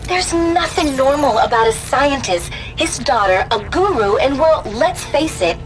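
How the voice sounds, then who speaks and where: A woman's voice: high voice, expressive, measured pace, noisy background. A woman voicing an anxious, sardonic teenage girl who confides in her audio diary in a video game, with music behind her. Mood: troubled, sardonic.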